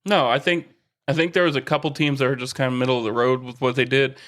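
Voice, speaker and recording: A man's voice: mid-range voice, steady tone, quick pace, fairly bright tone. A man co-hosting a sports podcast, talking in a casual, conversational way with some joking banter.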